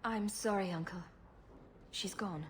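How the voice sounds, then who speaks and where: An actress playing a sarcastic yet loyal young rogue in a video game, dry and sardonic. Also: female voice, medium pitch, unhurried, a little hiss or hum, lightly acted. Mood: subdued grief. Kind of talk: dramatic video game acting.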